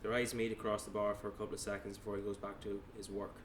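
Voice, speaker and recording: A man's voice: lowish voice, little pitch movement, fast, a little hiss or hum. A man narrating stage directions at a table read, plainly, with small stumbles as he reads.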